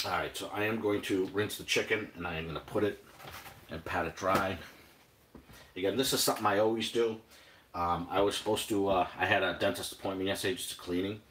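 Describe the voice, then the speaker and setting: An older man with a New England accent talks through his cooking on a live stream, drifting between topics and filling the pauses with "uh". His voice sits very low, and a faint hiss with small clicks runs under the recording. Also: fairly even intonation, a bit bright. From Boston area, New England. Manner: rambling, chatty, many fillers and restarts. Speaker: cooking livestream host. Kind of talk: casual live cooking chat.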